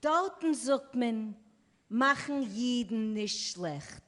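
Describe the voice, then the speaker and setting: A woman with a fairly high voice performs a literary reading very slowly and dramatically. Her voice rings through a long, reverberant hall from the stage. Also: lively intonation, a bit bright. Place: live event on stage.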